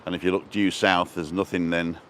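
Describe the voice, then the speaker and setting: A man's voice: low voice, little pitch movement, fairly quick, a bit bright. A man from England with a British accent, chatting casually and jokily as he hosts a rambling live walking tour and answers viewers. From born in England, lived in Wales and abroad. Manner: rambling, jokey. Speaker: travel vlogger and livestream host. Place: outdoors, walking along a seaside promenade. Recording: noisy outdoor street and seafront ambience.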